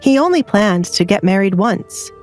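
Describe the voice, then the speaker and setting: A woman's voice: mid-range voice, expressive, moderate pace, a little mellow. A woman audiobook narrator reading scripted fiction in a storytelling style. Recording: faint background music.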